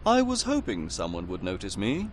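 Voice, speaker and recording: A man's voice: fairly low pitch, wildly swooping pitch, moderate pace, very noisy. A man playing a very proper British narrator in a comedy audio drama, dryly pompous and wordy. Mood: droll, prim.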